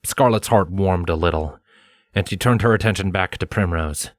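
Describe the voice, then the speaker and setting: A man's voice: low voice, normal speed, no room sound, studio quality. A man narrating fiction as a storyteller, reading from a script in a dramatized audiobook style.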